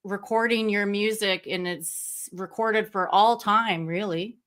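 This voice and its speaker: A woman's voice: medium pitch, fairly even intonation, a little slow, fairly bright tone. A woman hosting a livestream interview, enthusiastic and reflective in casual talk over a video call. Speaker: stream host.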